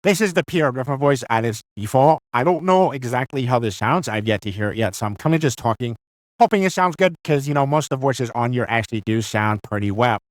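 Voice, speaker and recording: A man's voice: mid-range voice, lively intonation, fairly quick, no room sound. A man talking casually and off the cuff through an AI voice changer set to imitate a cartoon character. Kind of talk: casual, improvised demonstration.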